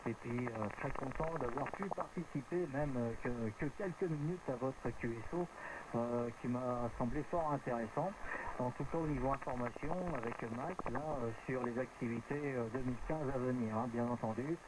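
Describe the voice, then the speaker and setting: A man with a mid-range voice chats as an amateur radio operator. He rambles a little, pausing to find his words. His voice comes over a radio link with clear noise behind it. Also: mid-range voice. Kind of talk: casual radio contact chat. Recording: noisy radio transmission with clear background noise.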